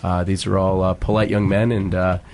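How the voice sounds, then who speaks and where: An American man, a school coach, answering interview questions in a polite, measured, halting conversational way. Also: low-pitched, little pitch movement, darkish tone, slight background noise. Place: radio studio.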